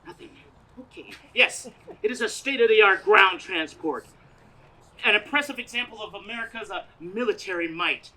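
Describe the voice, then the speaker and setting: A woman's voice: medium pitch, lively intonation, moderate pace, sharp tone, poor audio. A woman playing an earnest, flustered radio news reporter in a comedic stage radio play, recorded outdoors live. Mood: flustered, grandiose. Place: outdoors, parking lot stage.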